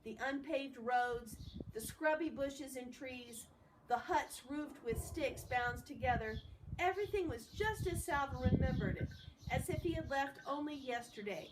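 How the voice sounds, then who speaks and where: A woman with a high voice reads a story aloud in an expressive way. She sounds set back from the microphone, with a faint noise behind her. The delivery is that of an informal read-along. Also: measured pace. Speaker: storybook reader. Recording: distant mic with faint background noise.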